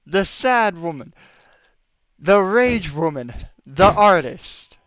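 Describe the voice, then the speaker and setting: Higher-pitched man in casual group banter. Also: very animated, drawn-out words, creaky, a bit bright, no echo. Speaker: host of a group tier-list discussion. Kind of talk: casual joking group chat.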